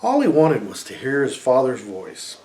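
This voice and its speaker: A middle-aged man reads a story aloud in a medium-pitched voice. His delivery is expressive storybook narration, as a father would give to his family. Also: moderate pace, poor audio.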